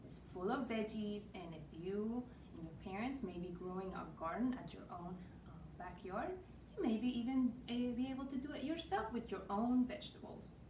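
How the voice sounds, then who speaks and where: A woman from Spain reads a picture book to children, her Spanish accent clear and her delivery lively and rhythmic. She sits some way from the camera in a small room with a roomy echo. A faint hiss sits under her voice. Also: mid-range voice, normal speed, recorded from a distance. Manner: lively, rhythmic read-aloud with Spanish words. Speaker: storytime reader. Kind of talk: reading a picture book aloud. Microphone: far camera.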